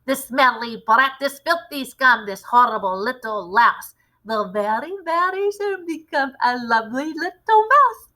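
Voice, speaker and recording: A woman voicing a villainous witch leader in a storybook, with a drawn-out, theatrical and imperious delivery. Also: sits high, lively intonation, bright, forceful tone, heavily acted. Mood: gloating, menacing.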